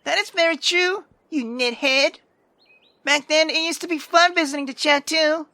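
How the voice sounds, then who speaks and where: A woman's voice: high-pitched, normal speed, bright, forceful tone, no echo, voice pushed up. A woman voicing a brash, sarcastic sixteen-year-old girl who is theatrical, teasing and foul-mouthed in a visual novel read-aloud. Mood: exasperated.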